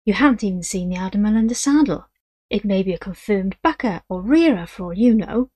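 A woman's voice: mid-range voice, a little fast, no echo, lightly acted. Woman doing a blunt, exasperated man. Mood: scolding. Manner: animated, blunt. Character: exasperated man, horse owner's partner.

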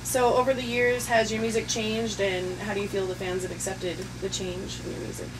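A woman's voice: mid-range voice, level tone, brisk. A woman asking questions casually as an interviewer. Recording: distant mic, clear vehicle and air-con hum.

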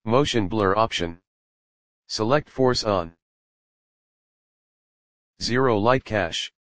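A man narrating a software tutorial voice-over in short, clipped step-by-step instructions with simple grammar. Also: fairly low pitch, slow pace, dry recording.

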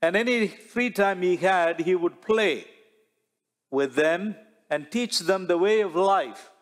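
Middle-aged priest giving a sermon, slow deliberate delivery, kinda high voice, big church echo. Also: male voice. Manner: slow, measured preaching with rhetorical questions and gestures. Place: large church sanctuary before the altar. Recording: long church reverb.

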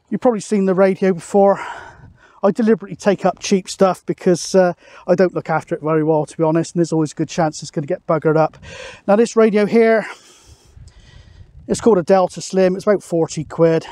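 High-pitched British man, casual outdoor vlogger. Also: a little mellow, dry recording. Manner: matter-of-fact, chatty. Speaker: radio hobbyist vlogger. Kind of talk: casual explanatory outdoor vlog. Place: open misty hilltop outdoors.

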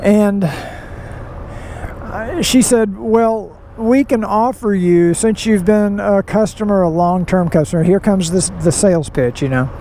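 Man, middle-aged, fairly high voice, folksy rambling storyteller, motorcycle helmet mic, heavy road and wind noise. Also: measured pace, warm tone. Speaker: motorcycle vlogger. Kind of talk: casual riding vlog monologue. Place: outdoors riding a motorcycle on city roads. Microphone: helmet mic, helmet-mounted camera.

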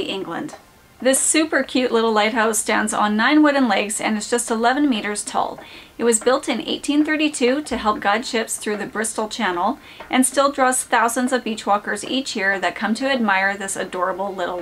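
Woman in her forties, fairly high voice, bright scripted narration over clear background music. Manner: upbeat, enthusiastic descriptive narration. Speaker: host of a lighthouse channel.

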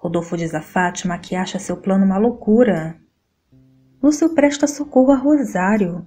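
A woman reading a scripted soap opera plot summary in a steady, even voice, with a Brazilian Portuguese accent. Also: medium pitch, moderate pace. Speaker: soap opera recap narrator. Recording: voice-over with faint guitar music behind.

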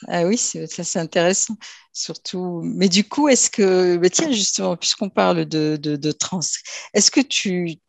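A woman's voice: on the low side, a little slow, gravelly, no room sound. A woman hosting an interview, asking curious, conversational questions over a video call. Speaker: interview host.

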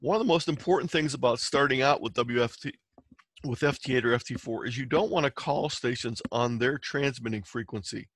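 Male presenter for a software tutorial. Mid-pitched, methodical explainer, close dry recording. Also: fairly quick, no echo. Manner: explanatory, step by step. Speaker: presenter teaching amateur radio software. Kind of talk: instructional slide presentation.

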